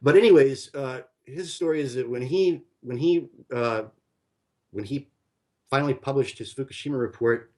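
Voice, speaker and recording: A man's voice: mid-range voice, normal speed, darkish tone. Rambling man telling a long story. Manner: rambling, full of fillers and restarts. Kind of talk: conversational storytelling.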